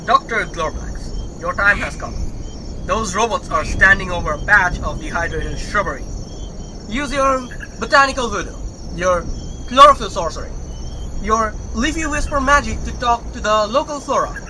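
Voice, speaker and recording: A man's voice: high-pitched, animated, normal speed, background noise. A man playing a pompous starship captain in a sci-fi comedy audio drama, commanding and declamatory.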